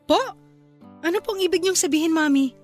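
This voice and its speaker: A woman's voice: extremely high pitch, brisk, a little mellow, faint music behind. A woman with a Filipino accent playing a polite, accommodating young pregnant wife in a radio drama. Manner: polite, deferential.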